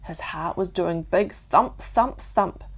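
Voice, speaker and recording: A woman with a New Zealand accent narrating a calm bedtime story for children, gently and with deliberate pauses. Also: fairly low pitch, husky.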